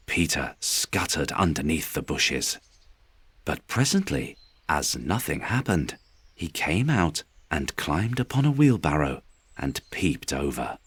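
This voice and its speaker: A man narrating a children's bedtime story in a calm, gentle, expressive storytelling manner with a soft voice. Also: a bass voice, slow, no echo. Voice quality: soft, husky. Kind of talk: scripted storybook narration, bedtime read-aloud.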